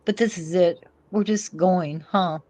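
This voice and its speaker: A woman's voice: on the low side, a little slow, mellow, no room sound, natural voice. A woman reading the part of a disillusioned young female outlaw in love, with plaintive, folksy phrasing. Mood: blue, disillusioned. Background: faint music.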